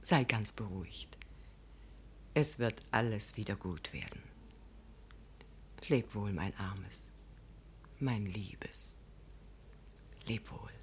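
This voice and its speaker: A middle-aged woman playing a loving, secretly conspiring mother in a radio drama, in a hushed, breathy whisper. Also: extremely low pitch, takes it slowly, long pauses, slight background noise, exaggerated voice. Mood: tender, reassuring. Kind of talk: literary radio drama acting.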